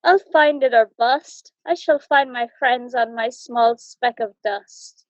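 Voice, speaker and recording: A gentle, protective storybook elephant, played by a woman, with a high voice and an earnest, pleading manner. The sound is close and dry but dull. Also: takes it slowly, dry recording. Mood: worried, resolute. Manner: pleading, earnest rhyming speech. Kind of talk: children's storybook read-aloud.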